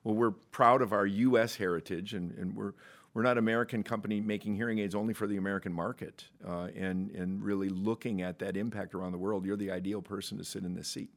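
A man's voice: on the low side, steady tone, fast talker. A man hosting a podcast interview, joking with his guest and talking in long rambling questions with many restarts. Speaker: podcast host.

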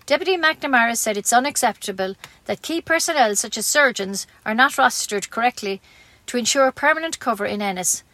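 A woman's voice: on the high side, fairly bright tone, no echo. A woman with an Irish accent reading scripted local news as a community radio newsreader.

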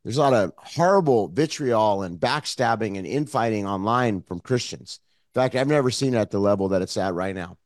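Hoarse, froggy-voiced man preaching. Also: lowish voice, expressive, brisk, no echo, studio quality. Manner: earnest, conversational preaching with frequent fillers. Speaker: pastor. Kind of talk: online Sunday sermon.

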